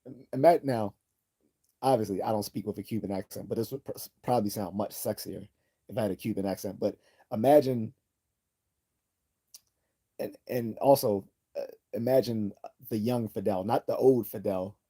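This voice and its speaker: A man hosting a casual livestream, reading viewer comments aloud and rambling with many restarts and throat clearing. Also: fairly low pitch, normal speed, long pauses, warm tone. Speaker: livestream host.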